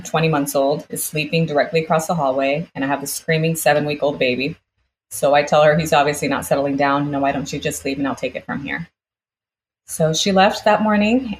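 A woman with a low voice recounts a personal experience as an interview guest. She talks conversationally and slips into quoted dialogue as she retells it. There is faint background ambience in the recording. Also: a little hiss or hum. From United States, born in Brazil.

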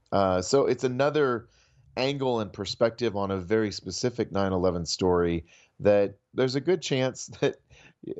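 A man with a fairly low voice speaks as a guest critic on a slightly dull, band-limited feed. He explains in a thoughtful, conversational way. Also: a little mellow. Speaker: media critic, guest commentator. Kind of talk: conversational film commentary.

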